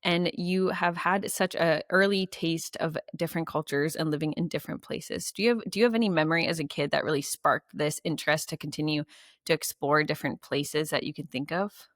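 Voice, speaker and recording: A woman's voice: low-pitched, fairly even intonation, brisk, dry recording, clean studio sound. A woman co-hosting a podcast, telling stories with animation, laughing often and speeding up as she talks. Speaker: podcast co-host. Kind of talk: casual interview chat.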